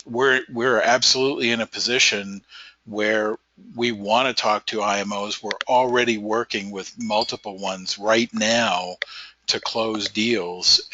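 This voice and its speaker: A man with a low, forceful, bright voice makes an earnest case to his sales team, stumbling over his first words. The audio is dull and lo-fi, close and dry. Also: no room sound. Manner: hesitant at first, then builds an earnest pitch. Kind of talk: casual business meeting talk.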